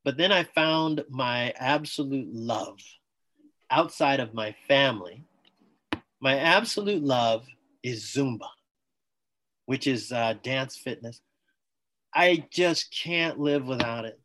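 A man, a former athlete, talking as a guest on an interview podcast, telling his story with enthusiasm in quick bursts. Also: medium pitch, lively intonation, fairly bright tone, a little hiss or hum. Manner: enthusiastic, talks in bursts, acts out quoted dialogue.

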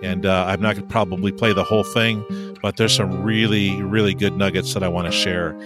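A man's voice: sits low, fairly even intonation, brisk, loud music behind the voice. A man co-hosting a podcast in a casual, chatty, jovial and teasing way. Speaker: podcast host.

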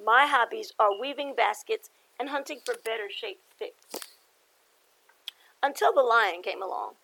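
A woman's voice: on the high side, lively intonation, a little slow, creaky, bright, forceful tone, a little hiss or hum, exaggerated voice, poor audio. A woman voicing a shy young female mouse who narrates her own story. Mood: timid.

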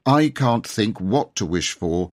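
A man's voice: lowish voice, animated, slow pace. A man voicing an eager, exasperated young schoolboy in a storybook, earnest and exclaiming. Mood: flustered.

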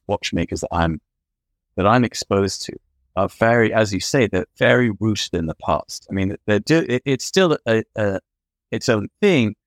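A man's voice: lowish voice, no room sound. A man appearing as a podcast guest, talking in an expansive, enthusiastic, rambling way. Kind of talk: casual interview chat.

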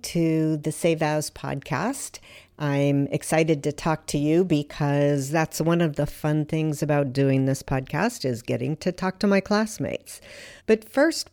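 Low-voiced woman interviewer, mellow tone. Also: unhurried. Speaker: podcast producer and interviewer. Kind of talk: podcast interview.

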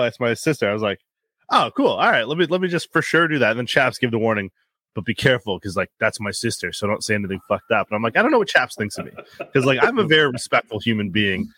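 A man co-hosting a podcast, telling stories in a teasing, animated way. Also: medium pitch, quick pace, no room sound. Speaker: podcast co-host. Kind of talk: casual podcast banter.

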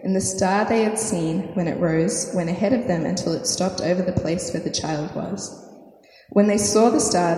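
A woman preacher with a croaky, hoarse voice from a sore throat, praying softly with many fillers, then reading scripture and preaching. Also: fairly low pitch. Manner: soft, hesitant prayer with many fillers. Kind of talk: sermon with prayer and scripture reading. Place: church hall. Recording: echoey hall, faint noise.

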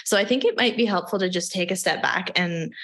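A woman hosts a podcast in an upbeat, enthusiastic manner, her voice a little lower than most. She reads a polished introduction and then moves into a friendly interview. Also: a little fast, fairly bright tone. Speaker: podcast host.

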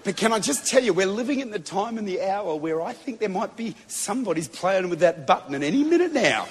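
A man preaching a Pentecostal sermon to a congregation in a large church, fervent and building to shouted declarations. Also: sits high, big pitch swings, brisk, a little hiss or hum. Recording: long reverb of a big hall.

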